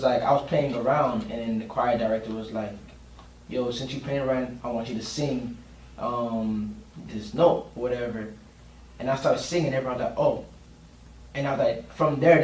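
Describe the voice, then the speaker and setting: A man giving a casual podcast interview as a guest, speaking informally with plenty of slang. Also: medium pitch. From Miami, Florida, USA. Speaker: guest singer and producer. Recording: roomy, distant mic, faint noise.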